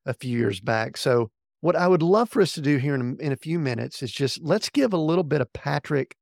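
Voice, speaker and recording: Gravelly, deliberate podcast host. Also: male voice, on the high side, expressive, no echo, studio quality. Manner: deliberate, slow in places, with restarts. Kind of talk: interview questions.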